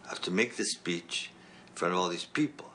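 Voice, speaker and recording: A man's voice: low voice, animated, fairly quick, sharp tone, a little hiss or hum. A middle-aged man who stutters, speaking hesitantly with many fillers in a candid conversation.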